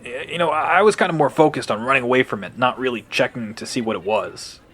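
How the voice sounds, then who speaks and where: A man playing a shifty, rattled young bully in an audio drama, speaking in a halting, defensive way. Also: mid-range voice, a bit bright, slight background noise, close to an everyday voice. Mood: uneasy. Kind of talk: audio drama dialogue, conversational.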